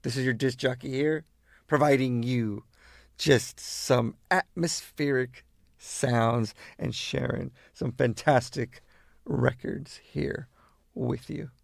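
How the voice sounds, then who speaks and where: Slow, gravelly male radio DJ. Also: medium pitch, fairly even intonation, dry recording, clean studio sound. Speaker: radio disc jockey. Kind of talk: laid-back radio chat between records. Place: garage.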